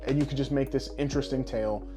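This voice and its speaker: A man co-hosting a podcast in casual, animated chat, swearing freely. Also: mid-range voice, fast, music in the background. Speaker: podcast co-host. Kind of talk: casual chat about games and films.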